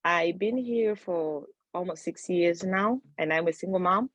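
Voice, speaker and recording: A woman from Tanzania, founder of a women's organization, talking on a video call in a halting, unhurried way, searching for words. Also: fairly low pitch, a bit bright, dry recording. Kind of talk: casual talk on a video call. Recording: dull, dry video call audio.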